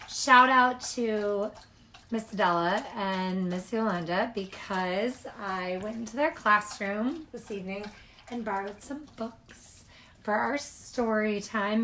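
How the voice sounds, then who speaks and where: Slow-paced woman reading to children. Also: medium pitch, a little hiss or hum, low-quality recording. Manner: animated, expressive, addressing young children. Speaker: childcare storyteller reading aloud. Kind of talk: children's picture book read-aloud with casual asides.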